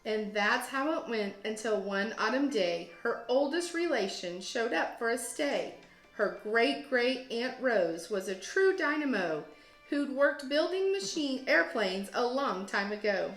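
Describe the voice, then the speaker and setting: A woman with a slightly high voice reads a children's story aloud like a teacher. Her phrasing is expressive and deliberate. The room is clearly echoey, with music playing behind her. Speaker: teacher, guest read-aloud host. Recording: roomy echo, music behind. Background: storybook app music.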